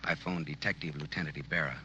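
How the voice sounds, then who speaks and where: An American actor playing a wry, terse private detective in an old radio noir drama, clipped and tough. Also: male voice, rumbling low, fairly even intonation, fast talker. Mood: terse, brooding. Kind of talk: 1940s radio acting with first-person noir narration. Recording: dull old radio recording with music.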